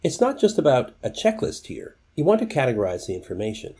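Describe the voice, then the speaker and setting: A man's voice: medium pitch, wildly swooping pitch, fast talker, a little mellow, husky. A man presenting scripted instructional tips, speaking directly to the viewer in an instructive way.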